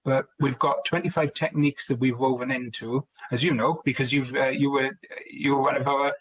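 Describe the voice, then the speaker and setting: A man's voice: medium pitch, steady tone, fairly quick, fairly bright tone, poor audio. A man co-authoring a book, chatting casually in an interview and often restarting his sentences. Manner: rambling, restarts sentences, friendly. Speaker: co-author guest.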